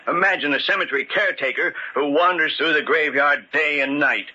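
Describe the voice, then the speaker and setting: An actor playing a stubborn, needy stonecutter near sixty, emphatic and pleading in 1940s radio drama style. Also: male voice, fairly high pitch, fairly quick, sharp tone, breathy edge, heavily acted. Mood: teasing. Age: older. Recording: dull, noisy old radio recording.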